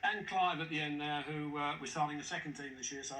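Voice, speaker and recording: A man's voice: fairly high pitch, steady tone, quick pace, pushed and bright. A man in his sixties, a quiz contestant introducing his team, chatty and a little hesitant. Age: 60s. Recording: echoey studio, mic at a distance, faint hiss.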